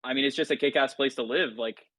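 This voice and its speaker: A man's voice: medium pitch, fast, bright, forceful tone, no echo. Rambling man raised in Italy, dry sound. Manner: enthusiastic, loose and rambling. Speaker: guest. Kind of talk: casual podcast chat.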